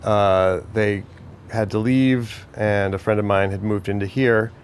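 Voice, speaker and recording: Male interviewee, casual and halting, very flat delivery over faint ambient noise. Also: fairly low pitch. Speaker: house resident being interviewed.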